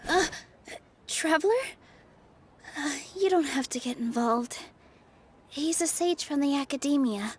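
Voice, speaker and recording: A woman voicing a gentle, earnest young dancer in a video game, speaking softly and hesitantly. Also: high voice, measured pace, pauses often. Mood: anxious.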